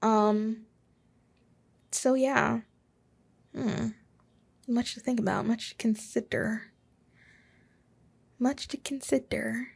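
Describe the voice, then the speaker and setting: A woman's voice: fairly high pitch, steady tone, takes it slowly, gravelly, long pauses, a little mellow, slightly rough. A woman in her twenties hosting a casual solo podcast from her home office, rambling and self-deprecating with chuckles and asides. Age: 20s. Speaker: podcast host.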